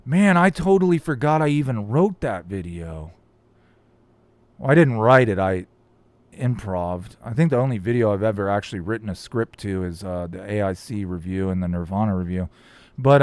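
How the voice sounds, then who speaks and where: A man's voice: fairly low pitch, animated, normal speed, dry recording. A man hosting a casual live stream, reading viewer comments aloud and rambling about them, his voice starting to crack. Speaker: YouTube host reading live chat.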